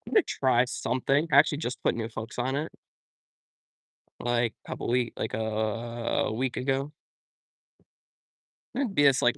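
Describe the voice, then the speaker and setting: A young man chatting loosely while he fishes by a pond, rambling from one thing to the next and answering his viewers as he goes. His voice sits at an ordinary middle pitch, and the recording is dry and close with no room sound. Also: fairly bright tone, no room sound. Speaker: fishing YouTuber. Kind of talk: live-stream chat while fishing. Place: outdoors by a pond.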